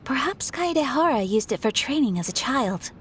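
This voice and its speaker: A woman's voice: sits high, sing-song, moderate pace, heavy background noise. Formal, composed female investigator. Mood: composed, analytical. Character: formal, diligent investigator, matter-of-fact. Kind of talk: video game voice acting.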